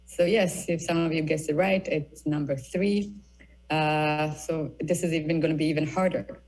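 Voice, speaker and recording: A woman's voice: low-pitched, fairly even intonation, moderate pace, a little mellow, slightly rough, distant mic, low-quality recording. A woman in her thirties from Ethiopia, giving a presentation talk as guest speaker, with many hesitant fillers. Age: 30s.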